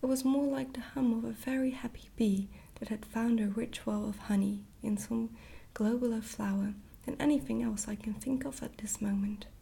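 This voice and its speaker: A woman gently reading a storybook aloud in a hushed, whispered ASMR style. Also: on the high side, fairly even intonation, moderate pace, gravelly, hardly any pauses, slightly rough. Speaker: storybook reader, ASMR creator.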